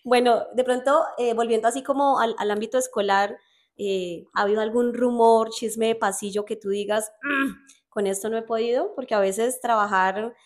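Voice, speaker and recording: A Colombian woman hosts a casual podcast chat, with a Colombian accent. Her voice is a little high. She asks questions warmly and laughs along with her guest. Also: steady tone, moderate pace. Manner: friendly, encouraging, laughs along. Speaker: podcast host.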